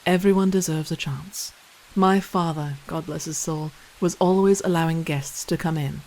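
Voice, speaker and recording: A woman's voice: low-pitched, steady tone, moderate pace, darkish tone, poor audio. A woman playing a kindly, self-assured young princess in an audio play, with proper, gracious manners.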